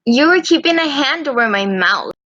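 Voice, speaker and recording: A woman with a fairly high voice reads character lines in an amateur, halting way. The sound is dry, without room echo, and slightly muffled. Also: expressive, sharp tone. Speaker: reader voicing female characters in a fan visual novel. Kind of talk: amateur character dialogue reading.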